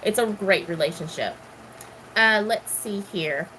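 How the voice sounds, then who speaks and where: A middle-aged woman chats casually to her viewers, working through a list of questions. Her voice is bright and forward, at an ordinary pitch for a woman. She rambles, filling pauses with ums and let's sees. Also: slight background noise, low-quality recording. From Houston, Texas. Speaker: gardening vlogger. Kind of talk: casual chat answering questions.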